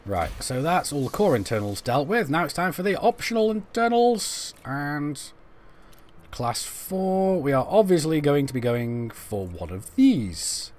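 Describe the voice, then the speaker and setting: A man's voice: fairly high pitch, sing-song, a little slow, a little mellow, a little hiss or hum. A young British man casually commentating a live game stream, rambling and joking at his own expense while chatting to viewers. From Britain. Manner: rambling, self-deprecating, chats to viewers while fiddling with menus.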